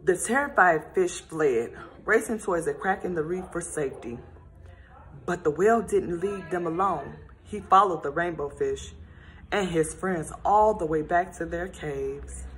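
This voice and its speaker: A woman reading a children's storybook aloud in a gentle, expressive storytelling manner. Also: lowish voice, measured pace, low-quality recording.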